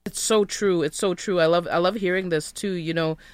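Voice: mid-range voice, fairly even intonation, moderate pace, clear, resonant tone, no echo. An interview host asking questions with hyped, enthusiastic slang exclamations. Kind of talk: casual interview.

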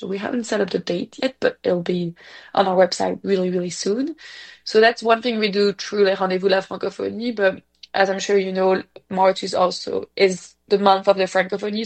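Creaky-voiced woman with Belgian French accent. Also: medium pitch. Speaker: community organization representative, interviewee. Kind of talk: casual interview answers.

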